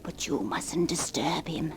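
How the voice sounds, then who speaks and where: An actress playing a deluded old recluse aunt in a 1940s radio horror drama, doting and fussing tenderly. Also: female voice, sits low, a little slow, in a whisper. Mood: hushed, fussing. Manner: hushed, fussing, tender, as if humoring someone present.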